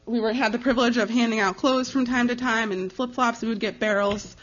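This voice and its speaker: A young American woman speaking as a missionary, giving an informal slide presentation in a conversational, earnest and halting way. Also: a little high, fairly even intonation. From United States. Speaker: missionary giving a ministry report. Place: live talk before an audience. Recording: dull, slightly lo-fi recording.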